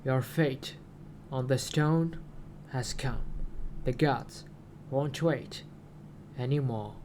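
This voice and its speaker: A man voicing a solemn alien prophet who makes grandiose, halting proclamations in archaic words, as in a storybook reading. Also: mid-range voice, darkish tone, slight background noise, lightly acted. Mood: ominous.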